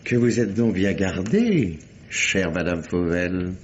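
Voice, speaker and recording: An actor playing a menacing aristocratic count, haughty and deliberate, in old French radio drama style. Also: male voice, deep voice, animated, slight background noise. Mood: smooth menace. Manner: haughty, theatrically deliberate, formal. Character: menacing aristocratic count, a cold blackmailing suitor. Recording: dull lo-fi vintage radio recording.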